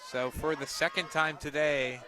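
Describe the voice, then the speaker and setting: A man doing live radio play-by-play of a softball game in short, present-tense calls that lift on the plays. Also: fairly high pitch, fairly even intonation, moderate pace, crowd noise.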